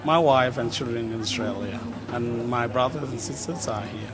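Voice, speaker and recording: A man's voice: medium pitch, moderate pace. A man from South Sudan giving an earnest, plain-spoken personal account outdoors. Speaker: interviewee. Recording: crowd noise outdoors.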